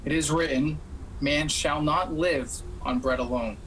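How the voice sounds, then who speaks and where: An actor playing a devout, unyielding young man, speaking in a measured, earnest and firm manner in a radio play. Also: fairly high pitch, a bit bright. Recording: faintly noisy home video-call recording.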